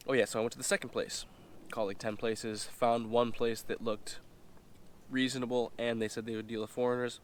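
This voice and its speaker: A man's voice: medium pitch, little pitch movement, a little fast, a little hiss or hum. A young man with a stuffy, nasal voice from a cold, casually telling a story in a vlog, full of fillers and slang. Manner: rambling, filler-heavy, slangy. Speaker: vlogger. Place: indoors, eating while talking.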